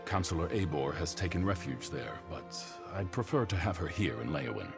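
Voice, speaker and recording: Grave, very deep-voiced councillor. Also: male voice, sing-song, gravelly, over loud music, full character voice. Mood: urgent, resolute. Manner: measured, official. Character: grave, authoritative male imperial councillor. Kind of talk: video game voice acting.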